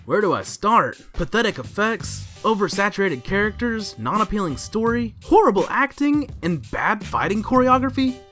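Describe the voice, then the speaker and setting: A man's voice: high-pitched, sing-song, normal speed, hardly any pauses, fairly bright tone. An American man in his thirties co-hosting a scripted countdown, ranting in an exasperated, theatrical way. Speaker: co-host of a countdown show. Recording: music under the voice.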